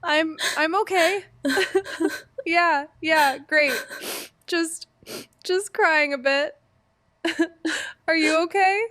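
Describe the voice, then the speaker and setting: An actress playing a grieving young woman in an audio drama, halting and crying while insisting she is okay. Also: extremely high pitch, a bit bright, no echo, full character voice. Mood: tearful, reassuring. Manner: halting, tearful, self-deflecting. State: crying, laughing through tears.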